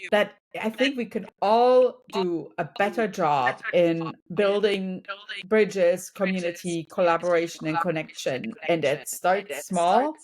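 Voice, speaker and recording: A woman's voice: lowish voice, slow pace, darkish tone, low-quality recording. A middle-aged woman from Germany with a German accent, a native German speaker, giving motivational advice in a conversational interview and often checking in with the listener. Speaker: business and wellness coach, podcast guest.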